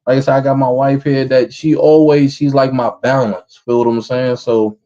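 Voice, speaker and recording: A man in his thirties with a New York accent, a rapper answering interview questions in a relaxed way. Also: medium pitch, moderate pace, muffled recording. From Brooklyn, New York, USA. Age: 30s. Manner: relaxed, repeats "feel what I'm saying". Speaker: rapper guest.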